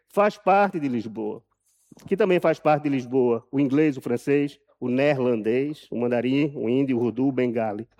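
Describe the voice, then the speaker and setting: A man with a Brazilian accent giving a live talk from a prepared text, telling his story deliberately and emphatically, repeating phrases for effect. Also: fairly high pitch, darkish tone. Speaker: journalist and author speaking at an event.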